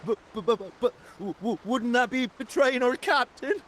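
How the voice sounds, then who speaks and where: A man's voice: very high voice, normal speed, fairly bright tone, a little hiss or hum. A man playing a timid, dim pirate underling in a video game, stuttering and hesitant. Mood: nervous. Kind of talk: video game character acting.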